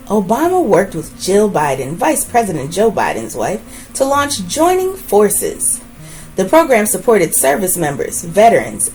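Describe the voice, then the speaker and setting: A woman reading a children's book aloud as host, with expressive, deliberate phrasing and brief asides. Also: mid-range voice, poor audio.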